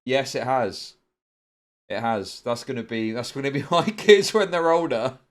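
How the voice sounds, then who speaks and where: A middle-aged British man talks casually to his livestream audience. His voice sits at an ordinary male pitch, and he tells stories in a loose, rambling, animated way with plenty of swearing. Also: measured pace. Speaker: livestream host.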